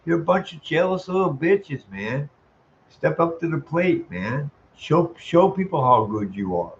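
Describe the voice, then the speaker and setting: A man ranting in a profane monologue, ending sentences with "man," chuckling, and stalling on drawn-out "uh" sounds. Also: on the high side, expressive, lo-fi sound, slight background noise. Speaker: vlogger.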